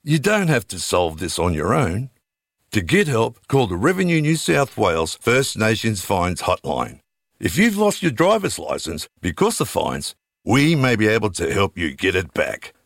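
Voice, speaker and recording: A man's voice: fairly low pitch, huge pitch swings, moderate pace, creaky, no room sound, clean studio sound. A man reading a scripted radio advertisement, reassuring and urging listeners to call a hotline. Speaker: ad voice-over.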